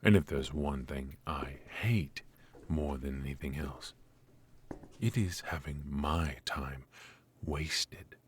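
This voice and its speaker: A man playing a stern, aloof royal mage and mentor, speaking formally and imperiously with a husky voice in a scripted ASMR fantasy roleplay. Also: very deep voice, slow, slight background noise. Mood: curt, exacting.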